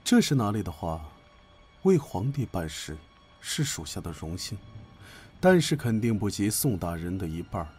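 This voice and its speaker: A man acting a sly, sardonic imperial court official in a Chinese period audio drama, measured and insinuatingly polite. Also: fairly low pitch, very animated, darkish tone, breathy edge, faint music behind. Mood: sly politeness.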